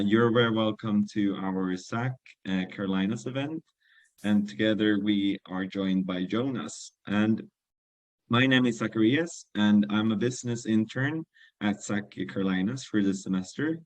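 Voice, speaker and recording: Young low-voiced man hosting a webinar. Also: no echo. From Sweden. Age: young adult. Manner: polite, somewhat hesitant, many ums and uhs. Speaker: webinar host, business intern.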